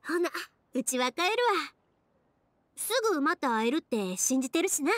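Friendly young woman fireworks maker, anime game, Kansai accent, high and expressive swings. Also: moderate pace, no room sound. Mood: warm, cheerful. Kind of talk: Japanese anime game voice acting. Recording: game dialogue over music.

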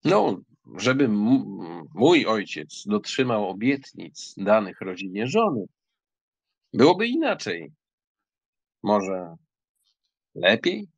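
A man acting an arrogant, impetuous German count in an audiobook drama, with a bold, theatrical manner and a husky, gravelly voice. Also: lowish voice, sing-song, slow pace, long pauses, no echo, full character voice. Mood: hesitant, polite.

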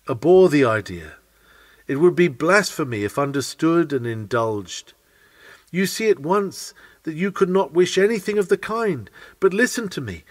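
A man's voice: a little high, no room sound. A man reading a sermon aloud in an earnest, oratorical manner. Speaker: sermon reader.

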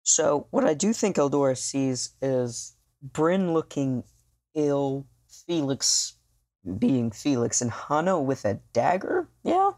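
A player narrating a tabletop role-playing game in halting, thoughtful, improvised phrases. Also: medium pitch, very animated, a little mellow.

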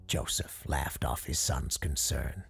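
A man performs as a genial parapsychologist hosting an audio drama and telling old family ghost stories. His voice is low and very breathy-rough, with some creak at the edges. He speaks in a measured, genteel storyteller's manner. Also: a bit bright, soft background music, exaggerated voice. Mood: storytelling. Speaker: host and storyteller of an audio fiction show. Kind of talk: scripted storytelling, radio-show monologue.

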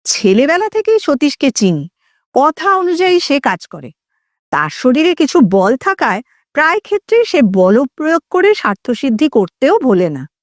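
A woman narrates literary fiction in a fairly high, very animated voice. Her delivery is dramatic and deliberate, captured in a dry, close sound. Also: no room sound. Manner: dramatic, deliberate phrasing with acted dialogue. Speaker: audio story narrator and host. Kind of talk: expressive literary story narration.

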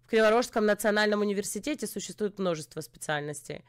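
A woman's voice: mid-range voice, quick pace, clean tone. A young woman from Ukraine, streaming a chat roulette argument in a sarcastic, lecturing way. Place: dim room with purple light.

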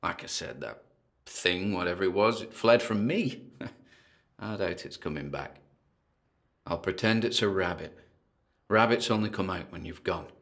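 A man playing a nervous, obsessive paranormal investigator who mutters a halting running commentary into a recorder in a found-footage horror audio drama. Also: low voice, lively intonation, fairly bright tone, exaggerated voice. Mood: determined, feverish. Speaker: voice actor.

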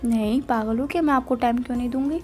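Young female, Indian accent, highish pitch, casual couple's phone chat, teasing and defensive, faint music. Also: steady tone, quick pace, darkish tone. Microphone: phone call.

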